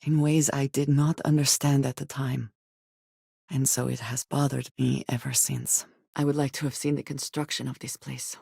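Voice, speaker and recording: An actress playing a guarded, devout and loyal woman spacefarer in a video game, with measured, formal, precise phrasing. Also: very deep voice, little pitch movement, vocal fry, dark tone, slightly rough, no room sound, clean studio sound, heavily acted. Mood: reflective. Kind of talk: scripted game character dialogue.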